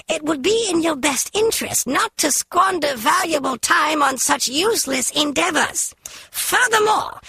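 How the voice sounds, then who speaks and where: A high, creaky puppet villain for a spy video game. The speech is haughty and formal, loaded with theatrical menace, in a bright, very dry recording. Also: female voice, no room sound, full character voice. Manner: pompous, formal, theatrically threatening. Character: menacing puppet messenger for a criminal organization, haughty and shrill.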